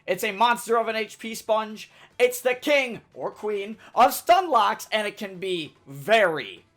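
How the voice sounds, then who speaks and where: A young man with a loud, energetic delivery, reading a scripted tutorial as a gaming YouTuber. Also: sits high, a little slow, faint music behind. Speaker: gaming YouTuber giving a weapon guide. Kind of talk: scripted game tutorial narration.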